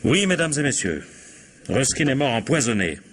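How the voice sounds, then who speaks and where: An actor playing a sardonic, domineering police inspector in a vintage radio mystery, interrogating with irony and cutting others short. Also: male voice, mid-range voice, very animated, normal speed, a bit bright. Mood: commanding, ironic.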